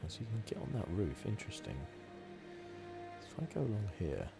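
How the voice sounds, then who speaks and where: A man giving relaxed ASMR-style game commentary with wry asides, speaking softly into a close microphone. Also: fairly low pitch, fairly quick, gravelly. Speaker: gaming video host. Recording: loud game music behind.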